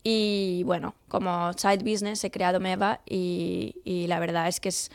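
A woman's voice: on the low side, little pitch movement, measured pace, vocal fry, no room sound. A woman from Portugal, a company founder, answering interview questions hesitantly with many fillers. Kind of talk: radio interview.

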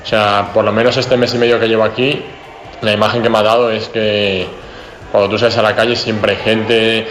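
A young man answers questions at a press conference, easygoing and chatty, leaning on fillers. His voice sits fairly low and echoes around a hard room, with a faint hiss behind him. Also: fairly quick. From Spain, Madrid region. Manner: relaxed, chatty, many fillers. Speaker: basketball player.

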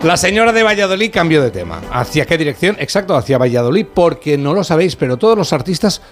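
A man's voice: on the high side, very animated, fast talker, over music. A man from reporting street interviews for a radio segment in a playful, teasing, casual way. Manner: playful, teasing, repeats words for comic effect.

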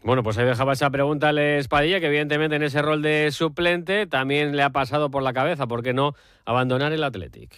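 A man reporting sports news in a fluent, steady way. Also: on the high side, fast talker, clear, resonant tone, dry recording, studio quality. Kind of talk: news commentary.

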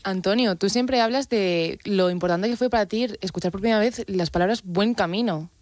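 A woman presents in a Spain Spanish accent with a prepared interview delivery. Her pitch is average and the sound around her voice is dry. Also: a little fast, a little mellow, no echo. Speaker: radio presenter. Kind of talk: scripted introduction and interview questions.

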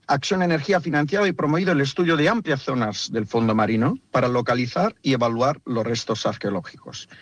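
Man, company director, formal statement, measured, dry close sound. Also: mid-range voice, dry recording. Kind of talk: prepared statement.

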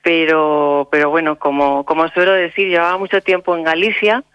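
Smooth-voiced woman, hesitant speaker. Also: low voice, flat delivery, moderate pace, a bit bright, dull audio. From Salamanca, Spain. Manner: hesitant, restarts, frequent tag questions. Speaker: guest, social worker. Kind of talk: radio interview.

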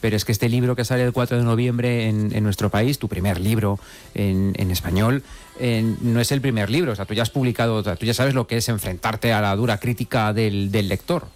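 Brisk man radio host. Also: lowish voice, fairly even intonation, darkish tone. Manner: friendly, brisk host questioning. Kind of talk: casual radio chat.

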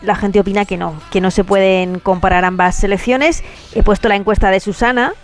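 A woman's voice: medium pitch, fairly even intonation, brisk, soft background music. A woman contributor on a sports radio show, casually reporting listener poll results.

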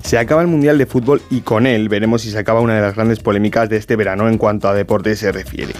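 A man voicing a scripted news report in a brisk, emphatic way. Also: fairly low pitch, fairly even intonation, faint music behind.

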